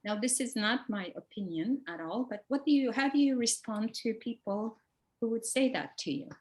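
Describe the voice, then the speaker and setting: A woman's voice: fairly high pitch, animated, normal speed, darkish tone. A middle-aged woman with a Turkish accent, asking questions conversationally and thinking aloud as she goes. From Turkey. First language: a minority language of Turkey. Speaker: interviewer. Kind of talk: conversational Q&A interview.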